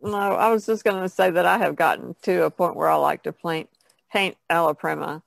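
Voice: mid-range voice, fairly even intonation, brisk, gravelly, no room sound, rough recording. A guest painter chatting casually on a podcast. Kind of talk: casual chat about painting.

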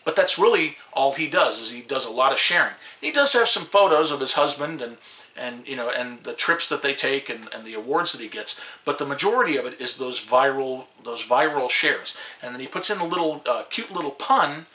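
A man's voice: medium pitch, expressive, fairly quick, sharp tone, roomy sound, rough recording. A man in his fifties with a Chicago accent, vlogging to camera in a small room, rambling with many restarts and fillers. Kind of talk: casual talk to camera.

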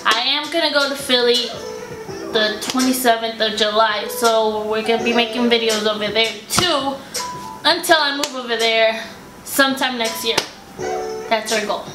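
A young American woman with a fairly high voice chats in a slangy, playful way. The room adds clear echo, and music runs behind her talk. She sounds like someone co-hosting a casual home vlog. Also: normal speed. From United States. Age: young adult. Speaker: vlogger, co-host. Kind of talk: casual chat to camera. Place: living room couch, indoors.

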